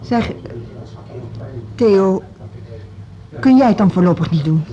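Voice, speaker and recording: An actress playing a gentle, courteous woman who runs a music school and is concerned for her staff, in a radio drama. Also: mid-range voice, expressive, takes it slowly. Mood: concerned, searching. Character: Gerda, sympathetic adult woman running a music school. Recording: dull, lo-fi radio play recording.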